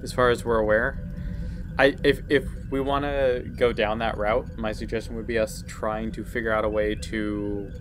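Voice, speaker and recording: A man's voice: fairly low pitch, flat delivery, normal speed, noisy background. A man playing a tabletop game, joking and easygoing in casual chat. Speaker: player.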